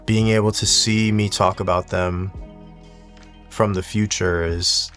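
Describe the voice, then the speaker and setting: A man talking casually and reflectively in a monotone, low-key, unhurried way, like a personal video diary for his hobby channel. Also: faint music behind. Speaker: YouTube watch collector and content creator. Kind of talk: casual reflective solo vlog talk.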